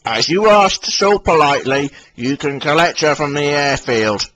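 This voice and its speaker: A kindly, stout railway boss, as a man puts him on for a storybook. He speaks firmly and a little louder than a plain reading voice. His tone is fatherly. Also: on the high side, lively intonation, measured pace, low-quality recording.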